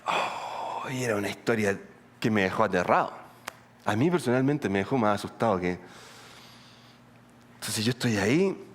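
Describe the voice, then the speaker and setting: A middle-aged man with a Colombian accent, preaching a sermon in an animated, humorous way with asides to the congregation. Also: mid-range voice. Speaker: pastor. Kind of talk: sermon with storytelling.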